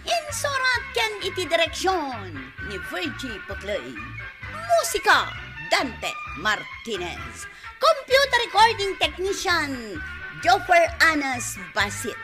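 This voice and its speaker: A woman radio announcer with an Ilocano accent reads scripted credits. Her voice is high and very animated, with music playing behind it. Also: slow pace. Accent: Ilocano Filipino.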